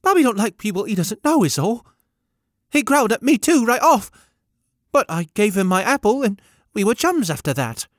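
A man's voice: high-pitched, sing-song, moderate pace, no echo, studio quality, voice pushed up. A man voicing a lonely pleading young boy. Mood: eager. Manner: pleading, ungrammatical country speech.

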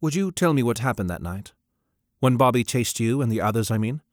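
A man reading an audiobook, voicing a calm, refined gentleman who speaks gently and in measured phrases. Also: mid-range voice, no room sound, studio quality, close to an everyday voice.

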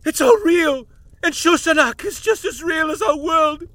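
A man voicing a frantic male professor in a spoken horror tale, crying out at a high shout. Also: takes it slowly, dry recording. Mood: frantic awe.

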